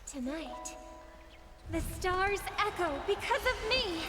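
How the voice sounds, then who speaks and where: A woman's voice: very high voice, animated, slow, exaggerated voice. An actress voicing a theatrical young female fighter in a fantasy video game, declaiming her lines. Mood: theatrical, poised. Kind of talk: anime-style video game character lines. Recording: game audio under loud music. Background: video game music.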